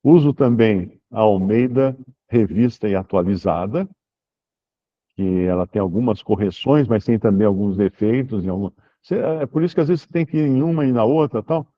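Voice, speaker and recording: A man, leading an informal Bible study and answering questions in a relaxed, explanatory way, slowing down to read verses aloud. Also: lowish voice, highs cut off. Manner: relaxed, explanatory, reads scripture aloud slowly.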